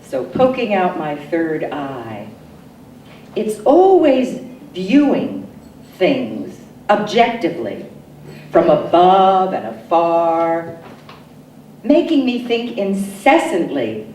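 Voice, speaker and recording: A woman poet giving an expressive poetry reading, with deliberate, dramatic phrasing and pauses. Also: medium pitch. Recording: roomy echo, distant mic, faint noise.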